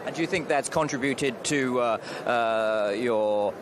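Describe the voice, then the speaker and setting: A man's voice: medium pitch, measured pace. A man interviewing a guest at an expo stand, hesitant and full of "uh" fillers. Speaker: event interviewer. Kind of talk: on-site interview. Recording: clear street noise behind.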